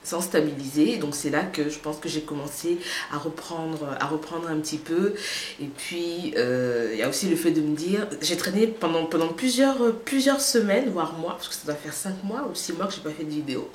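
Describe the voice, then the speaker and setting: A woman with a slight cold gives a casual, chatty vlog update and talks straight to her viewers, with many hesitant pauses. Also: sits low, darkish tone, reverberant, slight background noise. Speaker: vlogger.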